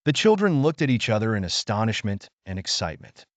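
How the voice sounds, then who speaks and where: A man's voice: on the low side, sing-song, a little fast, no echo. A man narrating a scripted bedtime story for children.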